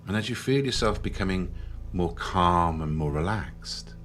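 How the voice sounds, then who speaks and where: A man leading a guided meditation in a slow, soothing manner with long pauses. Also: low-pitched, animated, a bit bright. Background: background music.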